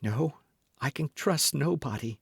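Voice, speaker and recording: A man's voice: on the high side, animated, measured pace, creaky, slightly rough, no echo, voice pushed up, full character voice. Guy reading as nervous young lady from an old adventure novel, formal n earnest, voice lifted, very dry recording. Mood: anxious. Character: frightened, anxious young married woman in period adventure. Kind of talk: audiobook character dialogue.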